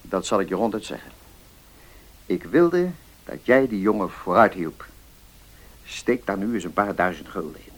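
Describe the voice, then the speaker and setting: An actor playing a middle-aged notary in a Dutch radio play, measured and deliberate, kindly but firm. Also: male voice, sits low, lively intonation. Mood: earnest, persuasive.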